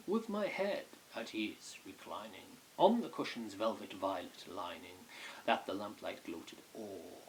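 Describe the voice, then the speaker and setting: Low-voiced man in hissy, theatrical gothic recitation. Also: poor audio. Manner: theatrical, brooding, swinging between hushed near-whispers and loud outbursts. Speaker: amateur poetry reader. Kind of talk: dramatic recitation of a gothic poem. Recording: hissy amateur home recording.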